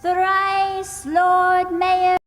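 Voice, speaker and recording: High, chanting spirit of bells. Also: female voice, completely flat delivery, very slow, soft background music, heavily acted. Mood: solemn, prophetic. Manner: chanting, sing-song. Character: prophetic singing voice of church bells. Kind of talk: radio play acting. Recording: echoey hall.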